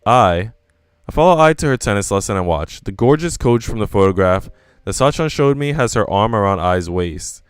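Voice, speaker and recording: A man's voice: lowish voice, expressive, normal speed, smooth, no room sound, clean studio sound. A man reading visual novel narration aloud in a live, casual way, now and then restarting a line.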